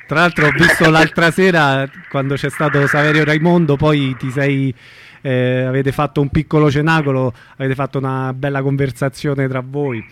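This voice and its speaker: A man's voice: mid-range voice, little pitch movement, normal speed, a bit bright, slight background noise. A man hosting a radio show in an informal, slightly hesitant chat. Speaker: radio host. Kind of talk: casual chat.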